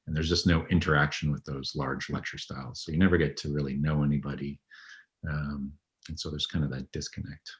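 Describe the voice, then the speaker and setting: Super deep-voiced vet guy in his 30s, slow steady talk, lots of ums. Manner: low, even, unhurried talk with frequent ums. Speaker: veterinary radiologist and teacher. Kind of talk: conversational interview.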